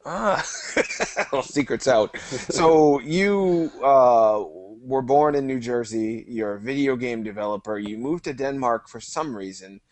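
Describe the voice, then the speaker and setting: A man's voice: mid-range voice, a little slow, no echo. A man hosting a live-streamed interview show, chatting casually and teasing his guest. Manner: joking, teasing. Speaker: host.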